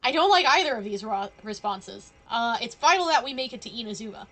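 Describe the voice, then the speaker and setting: A woman's voice: fairly high pitch, expressive, brisk, fairly bright tone, poor audio. A woman doing casual, jokey live commentary as she streams a game, with a playful manner.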